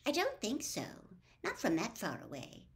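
A woman's voice: fairly high pitch, huge pitch swings, normal speed, voice pushed up. A woman voicing an impatient, know-it-all schoolgirl in a storybook, emphatic and exasperated.

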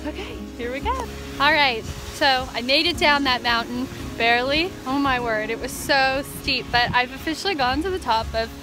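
A woman's voice: high-pitched, moderate pace, over music, poor audio. A young American woman in her twenties chatting casually and animatedly to camera as a vlogger. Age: 20s. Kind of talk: casual vlog chat to camera. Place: various: car interior, home, outdoor ski slope at night. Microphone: handheld camera at arm's length.

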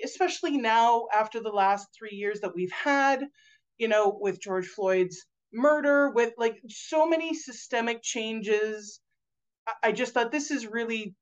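Loud animated woman on a video call. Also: fairly high pitch, dull audio. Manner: loud, animated, many fillers and restarts. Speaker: guest author. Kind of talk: casual video call interview. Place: home room indoors. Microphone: video call webcam.

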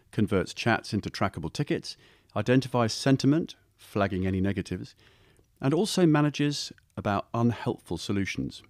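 A man reading a scripted explainer voice-over for a software review video, recorded close to the mic. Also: on the low side, no echo.

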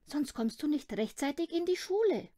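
Woman playing a high-voiced, patient mother. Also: lively intonation, normal speed, dark tone, no echo, studio quality. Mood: weary, firm. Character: patient, slightly weary mother of a small boy.

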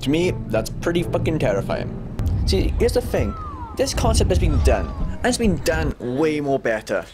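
A man's voice: on the high side, sing-song, normal speed, over music. A young man giving an exasperated, sweary, informal comedic game review. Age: young adult. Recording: noisy home room recording.

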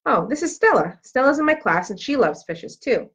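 A woman's voice: high voice, big pitch swings, normal speed, a bit bright, breathy edge, heavily acted. A woman voicing a friendly, eager young schoolboy in a children's storybook. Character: friendly, eager young schoolboy who likes sea creatures. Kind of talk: children's storybook read aloud.

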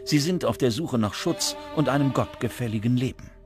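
Guy narrating a documentary, mid-pitched voice, music behind him. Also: a little fast. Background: background music.

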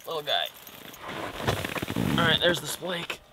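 A young man talking casually as he fishes from a small ice tent, relaxed and chatty. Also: a little high, slow, heavy background noise. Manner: relaxed, chatty, gets excited when fish bite. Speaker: outdoor vlogger. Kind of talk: casual vlog narration. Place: small ice fishing tent on a frozen lake. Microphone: camera mic, close.

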